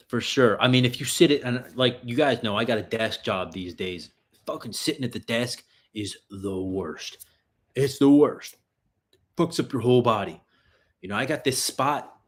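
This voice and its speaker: A man from the US tri-state area, hosting a casual livestream Q&A in a loose, profane, rambling way with lots of fillers and restarts. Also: lowish voice. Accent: New York area. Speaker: livestream host answering viewer questions.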